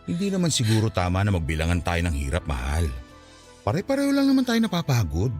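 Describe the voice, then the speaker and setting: A man's voice: on the low side, huge pitch swings, quick pace, a little mellow, soft background music. A man playing a patient, peacemaking father and husband with a Filipino accent, placating and pleading in a radio drama. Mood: pleading, reasoning.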